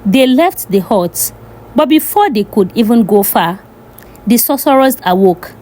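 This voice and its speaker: Female folktale narrator: higher register, highly animated scripted storytelling. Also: unhurried.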